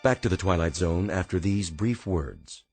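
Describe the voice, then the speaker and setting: A man's voice: deep voice, normal speed, soft background music. A man working as a radio announcer, reading scripted credits and program notes.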